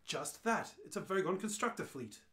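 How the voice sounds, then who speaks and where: A man voicing a brisk, unfazed alien hitchhiker who guides a confused friend, in a radio play read-through. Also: sits high, animated, a bit bright, pitched above the performer's own voice. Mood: matter-of-fact. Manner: matter-of-fact, unfazed.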